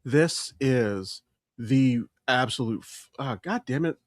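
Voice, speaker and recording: A man's voice: medium pitch, lively intonation, slow pace, no echo, clean studio sound. A man co-hosting a podcast in casual pop-culture chat, emphatic and opinionated, swearing freely. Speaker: podcast co-host.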